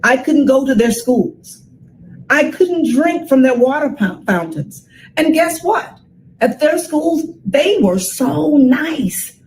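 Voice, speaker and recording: An American woman in her sixties reads a children's story aloud with dramatic, deliberate phrasing and emphatic repetition. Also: high voice, animated, darkish tone, slight background noise, rough recording. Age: 60s.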